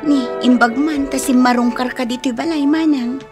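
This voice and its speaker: A woman's voice: sits high, steady tone, normal speed, music in the background, natural voice. A woman playing a resigned, aggrieved young wife separated from her husband in a radio drama, with an Ilocano accent. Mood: guarded.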